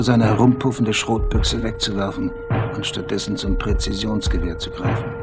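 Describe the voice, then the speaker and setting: A man narrating a radio documentary drama as a measured, precise, essayistic commentator. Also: lowish voice, gravelly. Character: measured literary narrator analysing the art of boxing. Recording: loud music under the voice. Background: music.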